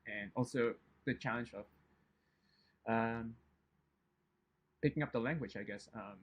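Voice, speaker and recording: An Indonesian-born man whose first language isn't English, talking in an interview. His mid-pitched voice has a slight huskiness. He speaks tentatively, filling pauses and restarting sentences as he thinks. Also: lo-fi sound. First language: not English. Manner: hesitant, many fillers and restarts. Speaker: chemist guest. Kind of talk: conversational interview.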